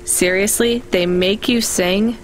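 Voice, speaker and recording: A woman's voice: medium pitch, fairly even intonation, slow pace, lightly acted. A woman playing a wry, conscientious young delivery driver in naturalistic, conversational video game dialogue.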